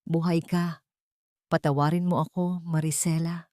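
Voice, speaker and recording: A woman's voice: low voice, level tone, measured pace, mellow, no room sound, studio quality. A woman with a Filipino accent voicing a guilt-ridden young husband who speaks in an emotional, pleading way. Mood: stunned, remorseful.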